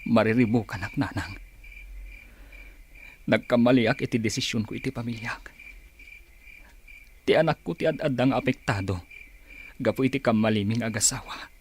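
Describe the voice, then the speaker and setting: A man's voice: medium pitch, normal speed, pauses often, slight background noise, lightly acted. A man playing a troubled, remorseful father in a radio drama. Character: remorseful, troubled father, grown son of nagging mother. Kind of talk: Ilocano radio drama acting.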